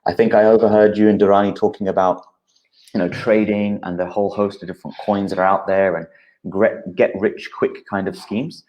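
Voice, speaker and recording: A man, a guest calmly explaining things in an interview, with frequent uh fillers. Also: on the low side, brisk, highs cut off. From United Kingdom. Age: 30s.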